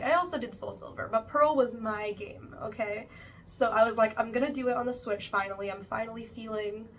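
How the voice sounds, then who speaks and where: Chatty young woman, slightly distant mic. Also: fairly high pitch. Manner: chatty, uses many fillers like "like" and "you know". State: slightly stuffy nose, still recovering. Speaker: vlogger. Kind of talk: casual monthly recap vlog. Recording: voice a bit far from the mic, faint background noise.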